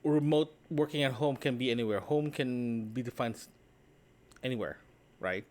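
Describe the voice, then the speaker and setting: A man records a casual solo podcast diary in a mid-pitched voice. He speaks conversationally and loosely, wandering from thought to thought and often tagging his points with a small question. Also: moderate pace, a little mellow. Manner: conversational, meandering, often checking with "right?". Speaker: solo podcast host and vlogger. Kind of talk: casual, rambling spoken audio diary.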